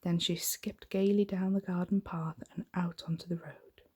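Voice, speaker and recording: Woman, ASMR storyteller, whispery, gentle, unhurried, dark mellow tone, lowish pitch. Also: steady tone. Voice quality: breathy, whispery. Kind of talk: soft-spoken ASMR bedtime story reading.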